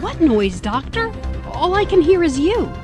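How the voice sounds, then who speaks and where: An outspoken, caring female companion played by a woman in a sci-fi audio drama. Her high voice is very lively in pitch, with light music underneath. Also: unhurried, exaggerated voice. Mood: worried. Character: outspoken, concerned female companion of a time traveller.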